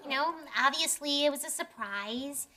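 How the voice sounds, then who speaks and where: A woman's voice: high-pitched, normal speed, sharp tone, a faint crowd. A woman playing a blunt, firm sitcom wife with a small voice and matter-of-fact delivery. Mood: exasperated. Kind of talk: sitcom acting before a studio audience. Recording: faint studio audience noise.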